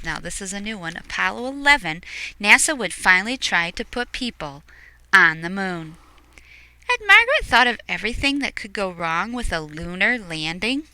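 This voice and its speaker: A woman reading a picture book aloud expressively, explaining things to young listeners in hushed asides. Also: mid-range voice, slight background noise, poor audio.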